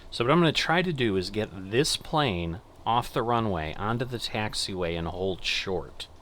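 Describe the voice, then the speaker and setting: A middle-aged man with a low, bright voice talks slowly through a game. He thinks aloud casually and reads out controller commands, with faint noise behind him. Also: expressive. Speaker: gaming channel host playing air traffic controller. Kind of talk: casual gameplay commentary with radio commands.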